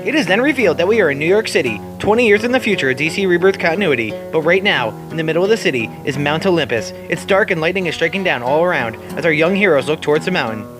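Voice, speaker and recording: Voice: mid-range voice, lively intonation, fast, hardly any pauses, music in the background. A narrator and host giving a scripted, dramatic reading of a comic book story.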